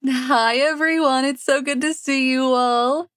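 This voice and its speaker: A woman's voice: high voice, fairly even intonation, unhurried, a bit bright, clear, resonant tone, dry recording. A woman storyteller and voice actor who narrates fantasy and chats to her listeners, recorded close up. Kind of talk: scripted fantasy narration and casual chat to listeners.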